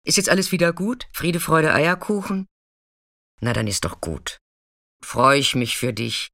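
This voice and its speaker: A streetwise woman of the night in an intimate radio drama. Her voice is bright and forward, recorded very dry and close. She talks colloquially and frankly, caring and hard to shock. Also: low-pitched, sing-song, a little slow, vocal fry, no echo, exaggerated voice. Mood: chatty, familiar. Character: warm, chatty, streetwise female sex worker, caring and unshockable.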